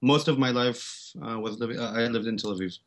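A man's voice: medium pitch, brisk. A man in his forties from Israel with an Israeli accent, a startup founder speaking as an interview guest, hesitant with many fillers and restarts. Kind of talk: interview conversation.

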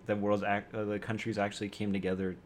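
A man with a fairly low voice musing about films and sci-fi worlds. He talks in an easygoing, curious way, with faint noise behind him. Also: flat delivery, fast talker. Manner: easygoing, curious, says bro. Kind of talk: casual chat.

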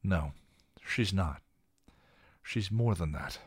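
A man voicing a fierce, defiant young woman who speaks forcefully in short, clipped lines. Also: sits low, expressive, takes it slowly, breathy edge, no room sound, clean studio sound, pitched above the performer's own voice, heavily acted. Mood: anguished.

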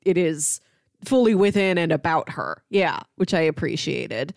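A woman's voice: sits low, animated, a little slow, gravelly, a little mellow, no echo, clean studio sound. A woman co-hosting a podcast, talking casually about films and comics. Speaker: podcast co-host. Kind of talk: casual film and comic discussion.

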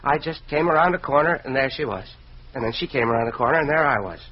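A man playing a flustered, kindly, lovestruck department store manager in a dreamy, halting, eager 1940s radio style. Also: medium pitch, lively intonation, slightly rough. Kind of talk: 1940s radio comedy-drama acting. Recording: muffled, noisy vintage radio recording.

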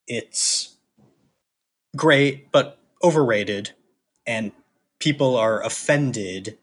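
A man's voice: lowish voice, fairly bright tone, breathy edge. A man co-hosting a podcast in casual chat, opinionated and emphatic in how he puts things. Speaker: podcast co-host.

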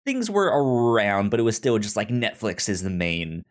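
A man hosting a podcast in casual discussion, speaking haltingly and often stammering over words and sounds. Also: lowish voice, sing-song. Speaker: podcast host.

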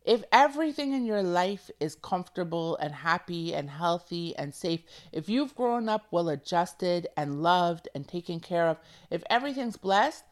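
A woman's voice: fairly low pitch, animated, moderate pace, few pauses. A woman touched by speech hosts a podcast and talks in an earnest, emotional way, often repeating phrases to press a point. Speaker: podcast host. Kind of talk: casual heartfelt monologue.

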